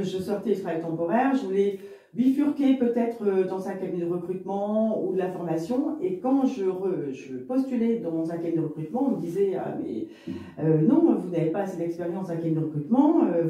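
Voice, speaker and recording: A woman's voice: medium pitch, warm tone, heavy reverb, far from the mic. A woman in her fifties from Lille, telling her career story in a rambling, chatty way as an interview guest. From Lille, northern France. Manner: chatty and rambling, many fillers and asides. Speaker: guest, business owner in real estate.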